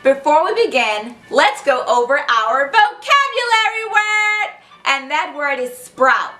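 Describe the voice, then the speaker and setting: A woman hosting a children's read-aloud, narrating in an animated, expressive storybook style and speaking directly to young listeners. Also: high-pitched, slow, reverberant, soft background music.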